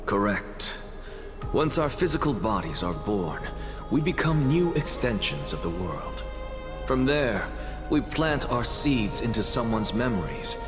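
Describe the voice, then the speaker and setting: An actor playing a proud, brilliant scholar in a video game, lecturing in a grandiose, self-assured manner. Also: male voice, lowish voice. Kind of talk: dramatic video game voice acting. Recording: long reverb, music behind. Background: music.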